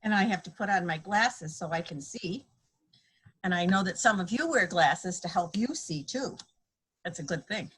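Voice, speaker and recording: A woman's voice: lowish voice, expressive, normal speed, a bit bright, breathy edge. A woman reading a picture book aloud to young children, explaining things simply and playfully acting out sound effects. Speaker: guest storybook reader, a mother. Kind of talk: read-aloud children's story time, simple teacherly explaining.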